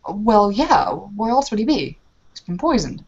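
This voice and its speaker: A woman playing an anxious, earnest young woman in a tabletop game, halting and full of questions. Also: medium pitch, very animated, darkish tone, husky, highs cut off, heavily acted. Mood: puzzled, concerned.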